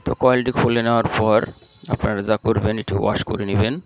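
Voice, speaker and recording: A man's voice: lowish voice, level tone, quick pace, clear, resonant tone, no room sound, rough recording. A man with a Bangladeshi accent narrating a step-by-step repair tutorial in a plain, instructional way. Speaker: electronics repair channel host.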